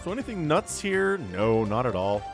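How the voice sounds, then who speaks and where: A man's voice: mid-range voice, moderate pace, over music. A man with a congested voice from a cold, casting a strategy game match in a chatty, joking way. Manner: chatty, joking asides, excited at fights. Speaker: StarCraft caster and show host. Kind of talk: casual game commentary.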